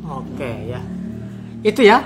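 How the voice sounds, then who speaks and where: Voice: mid-range voice, big pitch swings, slow pace, background noise. An interviewer hosting a conversational chat, often repeating and affirming what guests say. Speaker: interviewer, host.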